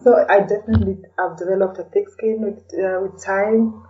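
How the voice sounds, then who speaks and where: A woman's voice: mid-range voice, fairly even intonation, normal speed, warm tone. A woman from Kenya with a Kenyan accent, chatting as an interview guest and often adding "you know" to her sentences. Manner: peppers talk with "you know". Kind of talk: casual conversational interview. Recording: distant, slightly dull sound.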